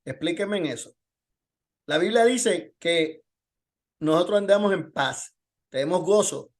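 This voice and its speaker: A man's voice: sits high, unhurried. A man preaching as a pastor in an informal Bible lesson, telling testimony and posing rhetorical questions.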